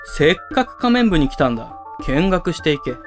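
A man acting an eccentric, theatrical high school club president in an amateur voice drama, with pompous, dramatic pauses. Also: big pitch swings. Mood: ominous, grandiose. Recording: music under the voice.

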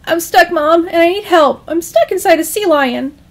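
A woman's voice: very high voice, moderate pace, voice pushed up. A woman voicing a nervous, pleading young man in a storybook tale, with a whiny manner. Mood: panicked whine. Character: panicked, pleading young man trapped inside sea lion. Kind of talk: acted storytelling dialogue.

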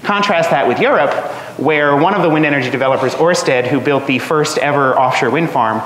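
A man in his thirties giving a relaxed academic seminar talk in a lecture room, with lots of ums and uhs. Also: a little high, fairly quick. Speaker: guest seminar speaker. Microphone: room microphone. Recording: echoey hall, faint noise.

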